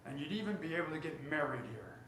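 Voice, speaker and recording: A man's voice: fairly high pitch, expressive, quick pace, a crowd in the background, lightly acted. A man playing a sentimental, self-important store heir in a 1940s-style radio drama, speaking formally and theatrically. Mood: wistful, confiding. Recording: roomy theater stage, faint noise.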